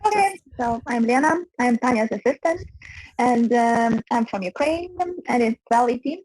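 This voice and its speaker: A woman's voice: a little high, lively intonation, moderate pace, a little mellow, husky, low-quality recording. A woman giving a short, slightly hesitant self-introduction in an online class over a video call. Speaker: class participant.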